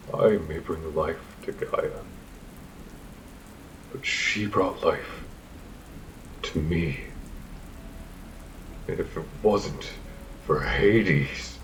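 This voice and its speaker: Grave, embittered father god, played by a male actor, very low-voiced, solemn audio drama, rain noise behind. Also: full character voice, poor audio. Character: grieving, embittered god of seasons, mourning his daughter.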